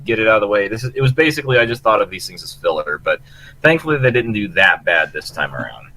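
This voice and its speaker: A man's voice: fairly low pitch, big pitch swings, quick pace, pushed and bright, rough recording. A man co-hosting a podcast in casual group chat. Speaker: co-host of a fan podcast.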